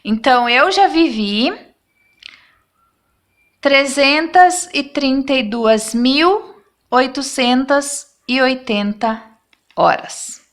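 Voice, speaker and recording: A woman's voice: high voice, very slow, pauses often. A woman, explaining math problems step by step as a teacher and checking in with her students after each step. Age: 30s.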